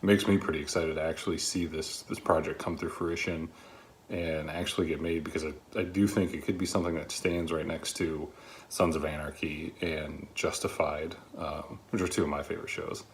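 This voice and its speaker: A man giving a spoken review of a script in an earnest, reflective way with measured phrasing. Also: rumbling low, fairly even intonation, a little hiss or hum.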